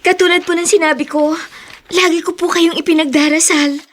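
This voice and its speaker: A woman's voice: very high-pitched, fairly even intonation, a little fast, fairly bright tone, a little hiss or hum. A Filipino woman acting in a radio drama as a polite, meek young housemaid. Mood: meek, tender.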